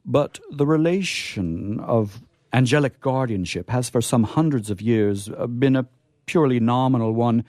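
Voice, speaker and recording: An actor playing a naive, courtly angel in a comic radio play, with formal, florid, hesitant phrasing. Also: male voice, medium pitch, moderate pace, a little mellow, no echo, clean studio sound, natural voice. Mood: polite, hesitant. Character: naive, courtly, curious male angel discovering earthly pleasures.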